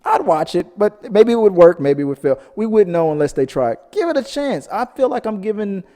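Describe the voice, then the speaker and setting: Male podcast host, slightly high mellow voice, rambling and jokey, casual film chat, long echoing reverb. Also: sing-song, brisk. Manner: rambling, jokey, searching for words.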